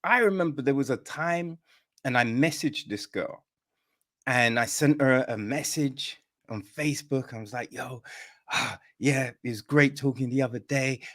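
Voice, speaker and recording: A man's voice: mid-range voice, measured pace. A man hosting a podcast interview, telling stories casually and acting out the quoted lines with lots of energy. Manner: animated storyteller acting out quoted dialogue. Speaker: podcast host.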